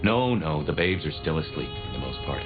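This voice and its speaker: A low, lively voice for a wry old vampire from a video game. He is chatty, folksy and theatrical, his pitch swinging widely. The sound is muffled, with music underneath. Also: fairly quick, exaggerated voice. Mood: wry amusement. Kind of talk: video game dialogue acting.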